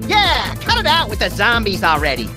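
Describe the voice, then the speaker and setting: A manic little cartoon rabbit thing with an American accent. The voice is high, brash and very excitable, swinging around sarcastically over loud music. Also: male voice, moderate pace. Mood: indignant, snide. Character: manic, bratty, bloodthirsty little male rabbit creature. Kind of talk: animated comedy game voice acting. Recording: loud music and rain behind.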